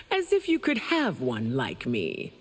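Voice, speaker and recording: A woman's voice: rumbling low, fairly bright tone, slightly rough, full character voice. A woman playing a proud, imperious, mocking warrior queen in a video game, speaking in a haughty, commanding, theatrical way. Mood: mocking, amused. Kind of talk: video game character dialogue.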